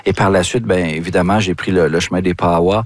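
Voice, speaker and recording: Male guest, fifties, deep pitch, measured and halting personal talk, very dry sound. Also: fairly even intonation, no room sound. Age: 50s. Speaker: guest, powwow dancer.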